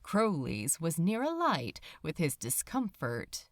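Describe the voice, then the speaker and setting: A woman narrating fiction from a script in an expressive, dramatic storytelling manner. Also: low voice, slow, dry recording, clean studio sound. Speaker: podfic narrator.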